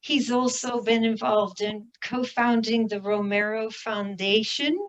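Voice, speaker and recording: A woman's voice: fairly high pitch, level tone, unhurried, highs cut off. A woman moderating an online commemoration, thanking one speaker and formally introducing the next. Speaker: event moderator.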